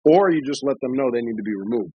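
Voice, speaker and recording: A man who works as a referee, a guest in a casual interview, explaining things in a measured way. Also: mid-range voice, expressive, slightly rough, no room sound, muffled recording.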